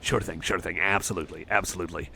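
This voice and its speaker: A man's voice: sits low, steady tone, quick pace, creaky, sharp tone, a little hiss or hum, exaggerated voice. A man playing a shy, anxious, apologetic cyborg friend in an ASMR roleplay, speaking in a hesitant, nervous stammer. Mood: awkward, bashful. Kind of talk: ASMR roleplay, personal attention.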